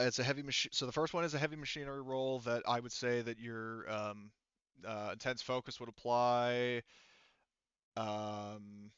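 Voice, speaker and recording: A man with a clean, resonant voice runs a tabletop game as its game master. He talks casually and works things out aloud, haltingly. The audio is very dry and a little muffled. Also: medium pitch, a bit bright, smooth, no room sound. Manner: hesitant, thinking aloud.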